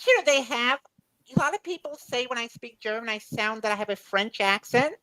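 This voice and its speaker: A woman with a Southern Mississippi accent talks casually as a podcast co-host. Her voice sits a little high, and the sound is close and dry. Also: lively intonation, fairly quick, bright, forceful tone, no echo, poor audio. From Mississippi, United States. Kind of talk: casual chat.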